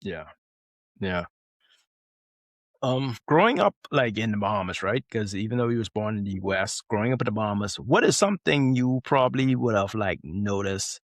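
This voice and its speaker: Podcast cohost dude, rambly questions, lots of "like", dry close mic. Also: male voice, fairly low pitch, expressive, normal speed, no room sound. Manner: rambling questions full of "like" fillers. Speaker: podcast co-host. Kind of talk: casual podcast interview.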